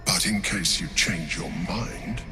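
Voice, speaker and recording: Sinister game benefactor, low voice, ominous, measured, tempting, eerie music behind. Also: male voice. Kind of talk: video game character dialogue. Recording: prominent eerie music under voice.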